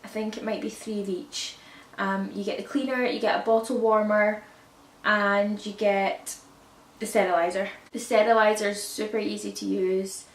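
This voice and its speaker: A woman with a croaky voice from a cold, chatting casually to camera about baby products and often correcting herself. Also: mid-range voice, little pitch movement, moderate pace. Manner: rambling, self-correcting, chatty. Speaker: parenting vlogger. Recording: echoey room, distant mic, faint hiss.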